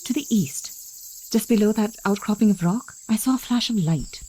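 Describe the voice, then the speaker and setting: An actress playing a cool, analytical science officer in a sci-fi audio drama, precise, dry and curious. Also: female voice, mid-range voice, normal speed, dark tone, a little hiss or hum, lightly acted. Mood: alert, precise.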